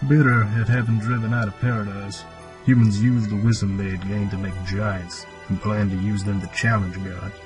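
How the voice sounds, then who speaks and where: A man's voice: on the low side, flat delivery, normal speed, over music, close to an everyday voice. A man playing a cryptic old cave hermit and mechanic in an audio drama, with a solemn, old-fashioned storyteller's delivery. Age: older. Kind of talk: fan audio drama acting.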